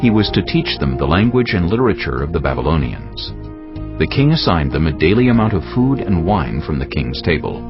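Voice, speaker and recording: A man narrating a dramatized audio Bible in a solemn, deliberate storytelling style. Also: low voice, sing-song, muffled recording, over music.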